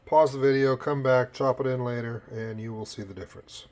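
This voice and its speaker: A man's voice: mid-range voice, fairly even intonation, brisk, darkish tone. A plain-spoken man presenting an informal hands-on repair demonstration as a technician, recorded on a cell phone at a workbench. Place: indoors at a repair bench. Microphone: cell phone camera. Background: music playing from tape machine.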